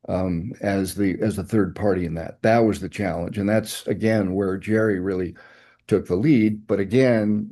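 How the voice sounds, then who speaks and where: A man's voice: lowish voice, mellow. An older man telling stories in an oral history interview, reflective with emphatic asides. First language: English. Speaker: interviewee, nuclear fuel executive.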